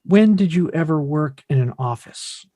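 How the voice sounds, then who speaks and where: An actor in a script table read playing an earnest grown son, restrained and skeptical, questioning with a pointed edge. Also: male voice, fairly high pitch, expressive, moderate pace, dark tone, no room sound, clean studio sound, close to an everyday voice. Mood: doubtful.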